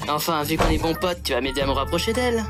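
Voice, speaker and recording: An actor playing a friendly, easily embarrassed high-school boy in an anime fan dub, bashful and chummy. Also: lively intonation, fast, natural voice. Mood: excited. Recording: music playing behind the voice.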